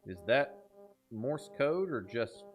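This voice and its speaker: A man's voice: mid-range voice, takes it slowly, faint music behind. A man hosting a horror reaction video, talking casually and enthusiastically, joking around and reading captions aloud. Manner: animated, jokey, enthusiastic.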